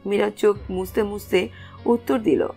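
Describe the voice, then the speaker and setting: A woman's voice: medium pitch, steady tone, moderate pace, mellow, breathy edge. A woman reading scripted narration for a romantic story as its narrator. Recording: music under the voice.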